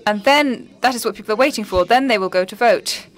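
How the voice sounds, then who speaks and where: A woman's voice: on the high side, a little fast. Emphatic woman making a conference statement. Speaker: conference participant. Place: large conference hall.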